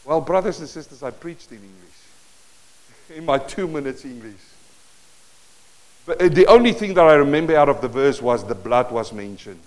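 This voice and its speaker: Pastor, male, Afrikaans accent, slightly high voice, lively storytelling sermon, miked in a church hall. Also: normal speed, pauses often. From South Africa. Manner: storytelling, animated bursts, moves around the stage. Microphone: worn or handheld mic that cuts in and out while he moves.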